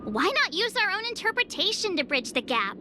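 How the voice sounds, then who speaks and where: A woman's voice: very high voice, big pitch swings, a little fast. An actress playing an imaginative, eager girl in a video game, explaining things with theatrical flair. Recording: game audio with clear background noise.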